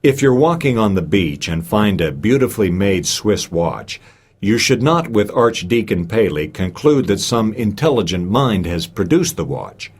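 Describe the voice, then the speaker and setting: A man reading a scholarly lecture from a script, with emphatic, rhetorical delivery and deliberate stresses. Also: on the low side, big pitch swings, slightly rough. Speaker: reader of a recorded speech.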